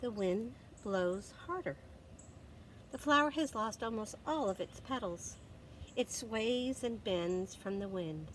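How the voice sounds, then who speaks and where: A woman storyteller reading a picture book aloud to young children, expressive and dramatic, with deliberate pauses and emphasis. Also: mid-range voice, slight background noise, poor audio. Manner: expressive, dramatic pauses, gasps and exclamations for effect.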